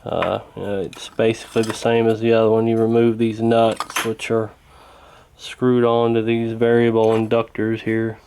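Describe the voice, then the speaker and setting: A man giving a casual hands-on repair walkthrough in a folksy, hesitant, unhurried way. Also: mid-range voice, darkish tone. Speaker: radio repair hobbyist demonstrating.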